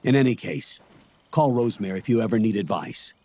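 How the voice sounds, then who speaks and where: An actor playing an older colonel in a video game, a measured, fatherly military mentor who explains himself carefully. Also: lowish voice, lively intonation, warm tone, slight background noise, close to an everyday voice. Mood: earnest, defensive. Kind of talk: cinematic video game voice acting.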